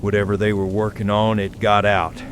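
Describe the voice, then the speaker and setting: A man's voice: monotone, lightly acted. A man playing a weary, middle-aged county sheriff, giving a grave, folksy emergency broadcast in a horror audio drama. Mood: resigned, grave. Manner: folksy, slow, resigned, grave. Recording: noisy survivor radio transmission, vehicle-like rumble.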